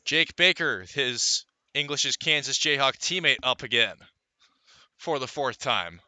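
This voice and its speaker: A man giving live radio play-by-play of a baseball game, calling it in measured, deliberate phrases with pauses. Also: mid-range voice, animated, bright, forceful tone, dry recording. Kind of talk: live radio sports commentary.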